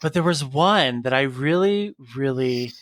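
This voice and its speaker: A man co-hosting a casual interview podcast, chatty and quick to laugh. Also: mid-range voice, dry recording, studio quality. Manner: laughs often, chatty and animated. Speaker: podcast co-host.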